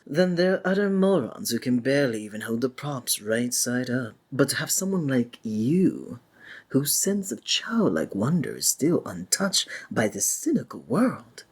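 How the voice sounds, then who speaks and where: Deep, husky, flamboyant sinister stage magician. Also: female voice, big pitch swings, a little mellow, heavily acted. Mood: gracious, confiding. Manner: flowery, theatrical, genteel, drawn-out phrasing. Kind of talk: ASMR roleplay, theatrical monologue to the listener.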